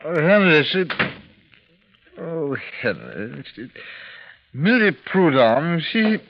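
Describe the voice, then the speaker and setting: An actor playing a groggy drunken man, slurred and halting, in a 1940s radio play. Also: fairly high pitch, very animated, vocal fry, background noise, full character voice. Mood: drunken, maudlin. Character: groggy drunken young man, friend of the hero. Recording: dull vintage radio recording.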